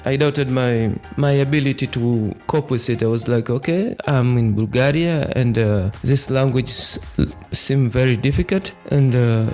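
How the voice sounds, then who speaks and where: A man's voice: mid-range voice, unhurried, few pauses, a little mellow, soft background music. Young man telling his story. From Tanzania. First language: Swahili. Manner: earnest, reflective storytelling. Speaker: podcast host. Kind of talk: personal monologue.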